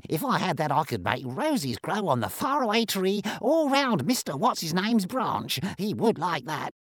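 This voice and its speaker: A man voicing an excitable, muddled old male creature in a storybook, in a high, slow, delighted voice. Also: no echo, studio quality, exaggerated voice.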